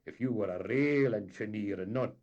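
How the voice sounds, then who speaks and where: A man voicing a vain, boastful Highland boat captain with a Scottish accent. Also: moderate pace, a little mellow, muffled recording, lightly acted. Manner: blustering, boastful.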